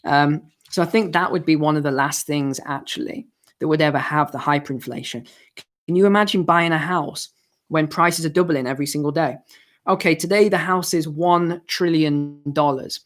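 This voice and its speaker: A man hosting a live finance stream and answering viewer questions, hoarse from losing his voice, explaining in a chatty way with asides. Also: on the high side, moderate pace, a bit bright. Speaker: finance channel host.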